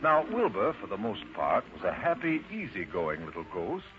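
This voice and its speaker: A man's voice: huge pitch swings, normal speed, over music. A man narrating a scripted children's story as a storyteller, with theatrical, emphatic phrasing. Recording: dull, noisy low-fidelity recording.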